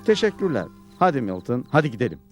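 An actor playing a calm, courteous lone cowboy hero in a cartoon dub. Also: male voice, medium pitch, fast talker, music in the background, natural voice. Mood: calm, polite. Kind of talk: cartoon dubbing.

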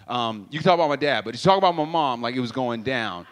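A young man speaking on a stage with a handheld mic, telling an animated story, acting out sound effects and talking straight to the crowd. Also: medium pitch, fast talker. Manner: animated, acts out sounds and voices, addresses crowd directly. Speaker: speaker at a church youth event. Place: stage in a purple-lit hall. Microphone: handheld microphone.

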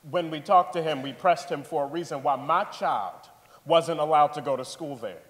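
High male voice, earnest father on the witness stand, played by an actor, stage drama, long hall reverb. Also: moderate pace, fairly bright tone, close to an everyday voice. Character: earnest father testifying in an 1890s school case. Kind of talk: staged dramatic reading of court testimony. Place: large theater stage, indoors.

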